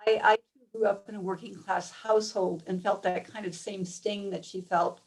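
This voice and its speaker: Creaky, husky woman reflecting personally. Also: medium pitch, fairly even intonation, moderate pace, low-quality recording. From Minnesota, United States. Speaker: writer, panelist.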